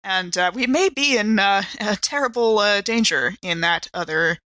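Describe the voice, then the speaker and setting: Bright-voiced woman improvising in a roleplay game. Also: medium pitch, moderate pace, no room sound. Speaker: player in an actual-play podcast. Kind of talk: improvised in-character tabletop roleplay.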